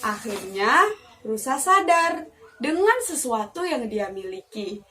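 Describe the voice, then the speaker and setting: A young woman telling a children's story expressively, like a teacher. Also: on the high side. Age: young adult. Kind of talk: animated children's storytelling with questions.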